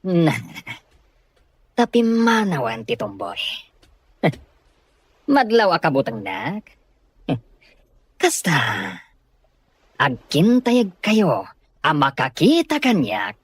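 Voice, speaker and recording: A woman's voice: fairly low pitch, huge pitch swings, slow, pauses often, husky, no echo, full character voice. A woman voicing a fawning, self-important comic majordomo, obsequious and pompous, in a radio drama. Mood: pompous, gloating. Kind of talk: Filipino radio drama acting.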